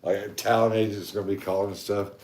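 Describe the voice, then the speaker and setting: A man hosting a podcast, joking around in casual chat with a very deep voice. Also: fairly even intonation, a little fast, darkish tone, reverberant. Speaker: podcast host.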